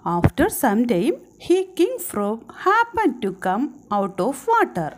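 A woman telling a story to young children as a trainee teacher, in a deliberate, expressive manner. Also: on the high side, background noise.

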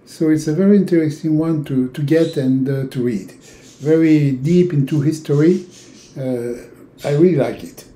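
A man in his sixties from Europe, a native French speaker with a French accent, giving an informal, halting instructional talk with long hesitations. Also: a little high, warm tone. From francophone Europe. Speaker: tarot teacher. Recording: roomy, echoing indoor sound.

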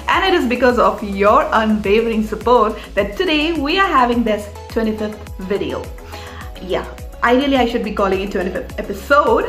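Indian-accented female storyteller, expressive and explanatory, higher register, music underneath. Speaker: storyteller and author hosting a folktale series. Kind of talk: enthusiastic scripted presentation to viewers. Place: small room indoors. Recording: roomy echo with music underneath. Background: background music.

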